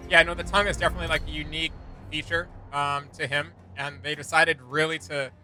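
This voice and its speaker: A man's voice: fairly high pitch, fairly even intonation, quick pace, pushed and bright, faint music behind. A man giving casual reaction commentary as a video host, thinking aloud in a rambling way with trailing asides.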